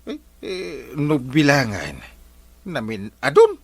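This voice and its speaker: A man's voice: medium pitch, huge pitch swings, takes it slowly, creaky, dry recording, exaggerated voice. An actor with an Ilocano accent playing a teasing, blustery village man in a comedy drama, full of exclamations.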